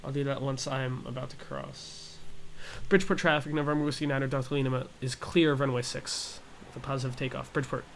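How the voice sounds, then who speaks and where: Casual man explaining over clear background noise. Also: medium pitch, flat delivery, fairly quick. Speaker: gaming tutorial host. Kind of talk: casual flight simulator tutorial commentary.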